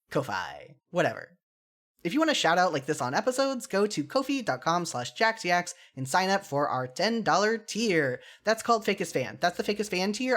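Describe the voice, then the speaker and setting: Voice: medium pitch, a bit bright. A podcast host speaking solo in an informal, earnest and opinionated way, mixing scripted commentary with casual, self-deprecating asides.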